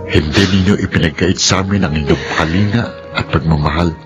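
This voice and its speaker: A calm, dutiful young man, played by an actor in a radio drama. His voice is very deep and steady. Faint music plays underneath. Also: brisk, muffled recording, natural voice. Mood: earnest. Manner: calm, advising.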